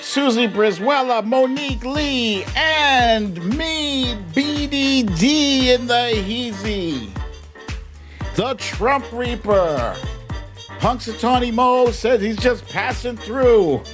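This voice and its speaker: American show host, unhurried pace, mid-range voice, playful slang, casual live shout-outs, light music underneath. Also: medium pitch, animated. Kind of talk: casual livestream chat with shout-outs to viewers.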